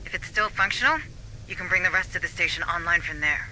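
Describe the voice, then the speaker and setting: Brisk matter-of-fact station admin in a sci-fi game, super bright voice, bit of noise. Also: female voice, fairly low pitch, low-quality recording. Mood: practical, cautioning. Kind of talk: video game military drama.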